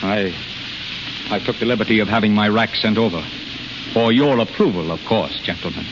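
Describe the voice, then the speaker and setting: A man's voice: on the low side, moderate pace, natural voice. An actor playing a proud, impatient unlicensed healer in a 1930s radio drama, insistent and challenging, repeating his words. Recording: hissy, muffled 1930s radio recording, hall reverb.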